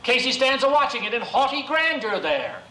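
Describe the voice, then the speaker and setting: A man declaims verse theatrically in a high, bright, projecting voice. He sounds echoey and distant from the microphone. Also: sing-song, moderate pace. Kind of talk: theatrical poetry recitation. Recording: echoey, distant mic, faint noise.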